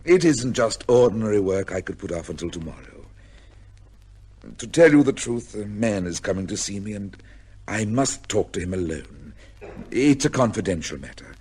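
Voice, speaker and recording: An actor playing a proud, severe middle-aged judge with a secret life, speaking formally in an old-time radio drama. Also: male voice, on the low side, sing-song, a little mellow, breathy edge. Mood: tender, evasive. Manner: formal, measured, pompous. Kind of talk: old-time radio drama acting, with first-person narration.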